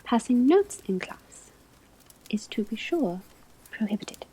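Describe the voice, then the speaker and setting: Breathy, slow-speaking woman as a witchcraft teacher. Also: fairly high pitch, sing-song, poor audio. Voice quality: soft, breathy, often whispered. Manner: measured, formal, precise phrasing. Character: calm, kindly but strict witch school divination teacher. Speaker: ASMR roleplay performer. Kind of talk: ASMR classroom roleplay, soft lecturing.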